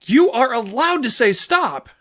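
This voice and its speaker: A man's voice: very high-pitched, big pitch swings, measured pace, fairly bright tone, voice pushed up, heavily acted. A man voicing an upset teenage girlfriend, wounded and bitter, with emphatic, sarcastic delivery in a fan fiction audiobook. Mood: angry.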